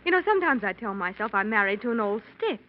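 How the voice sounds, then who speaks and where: An actress playing an affectionate, chatty, well-bred wife in an old radio mystery. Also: female voice, mid-range voice, sing-song, quick pace, natural voice. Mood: fond, chatty. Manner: fond, chatty. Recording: muffled, hissy old radio recording.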